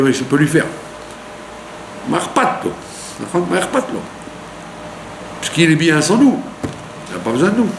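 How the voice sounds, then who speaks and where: Animated rabbi teaching in an echoey room. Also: male voice, on the high side. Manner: animated teaching, with rhetorical questions and frequent "d'accord?". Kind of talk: Torah lesson sermon. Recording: echoey room with faint hum.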